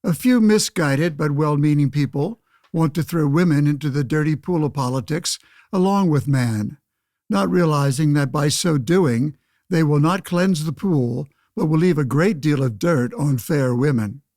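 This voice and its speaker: An actor playing a pompous, old-fashioned senator of 1911, speechifying against suffrage in a radio play. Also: male voice, a little high, unhurried, a little mellow, no room sound, studio quality. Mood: condescending. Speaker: debate guest. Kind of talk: pseudo-radio play, oratorical debate.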